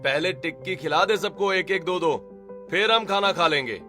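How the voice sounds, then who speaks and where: A man's voice: high-pitched, moderate pace, pushed and bright, soft background music. A man playing a demanding, food-craving male elder of an Indian household in a story drama. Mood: insistent. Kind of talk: Hindi audio story dialogue acting.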